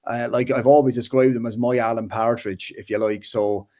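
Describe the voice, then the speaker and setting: A man in his thirties with a Waterford Irish accent, a filmmaker chatting casually as an interview guest, animated and full of restarts. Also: medium pitch, normal speed. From Ireland. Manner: animated, restarts often, frequent "like" and "you know".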